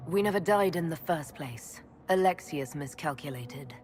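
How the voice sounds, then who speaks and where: An earnest, echoing heroine. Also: female voice, deep voice, lively intonation, moderate pace, a little mellow, slight background noise. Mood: determined. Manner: direct, earnest. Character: earnest, determined, caring female hero. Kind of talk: video game cutscene acting.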